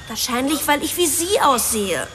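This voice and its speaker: An actress in a German radio drama playing a spoiled rich daughter who confesses emotionally and justifies herself. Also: female voice, sits high, sing-song, moderate pace, fairly bright tone, slight background noise. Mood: defensive, confessing.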